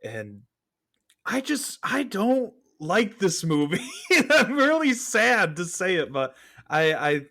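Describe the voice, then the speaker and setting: This is a man with a slightly high voice. He talks casually as a guest on a review podcast, candidly working through his opinions and tossing in rhetorical asides. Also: wildly swooping pitch, slow pace, sharp tone. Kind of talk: casual film review chat.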